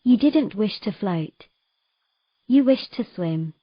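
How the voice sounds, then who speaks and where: Woman acting a slow-speaking, patient mermaid. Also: mid-range voice, expressive, no room sound, lo-fi sound. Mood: coaxing. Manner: soft instructing, encouraging, measured. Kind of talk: romantic fantasy audio drama acting.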